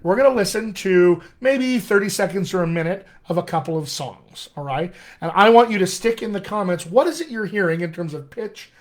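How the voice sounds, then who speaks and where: A middle-aged American man, a dialect coach, teaching a live online class in an animated, friendly way, often calling his viewers "my friends.". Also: high-pitched, a little fast, hardly any pauses. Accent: American English. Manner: animated, addresses viewers as "my friends", chuckles at own asides. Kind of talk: live-streamed teaching session.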